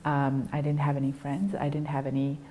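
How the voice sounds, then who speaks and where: A young adult woman giving an earnest personal account as a guest in an interview, halting with fillers and restarts. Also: deep voice, completely flat delivery, warm tone, slight background noise.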